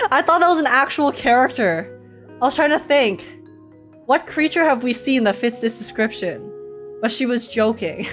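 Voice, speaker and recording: A woman streamer giving casual live reactions to a game, chatty and giggly, thinking out loud. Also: fairly high pitch.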